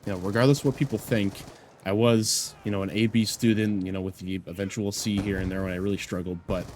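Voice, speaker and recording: Congested young man with a low voice. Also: fairly even intonation, quick pace, darkish tone, a little hiss or hum. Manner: earnest, rambling, heavy with "you know" fillers. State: sick and a little congested. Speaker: YouTuber. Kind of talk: reflective personal vlog talk.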